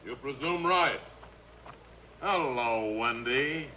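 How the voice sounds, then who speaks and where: An actor playing a cold, menacing racketeer with a curt, sardonic manner in a 1950s radio mystery. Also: male voice, medium pitch, animated, takes it slowly, bright, forceful tone, poor audio. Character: cold, menacing, terse racketeer returning home. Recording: old radio broadcast tape with faint noise.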